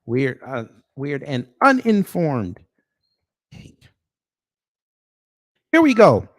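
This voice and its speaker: A man with an American accent hosting off-the-cuff online commentary in a sarcastic, confrontational manner with pointed pauses. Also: fairly high pitch, huge pitch swings, no room sound, studio quality. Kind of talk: off-the-cuff opinion commentary, reading posts aloud.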